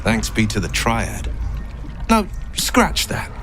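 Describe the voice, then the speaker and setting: An earnest young fantasy hero with dramatic emphasis. He speaks slowly with wide swings in pitch, over clear game-scene music. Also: wildly swooping pitch, full character voice. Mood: weary, resolute. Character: earnest young heroic man bound to a devil. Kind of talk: fantasy video game acting. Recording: music behind the voice.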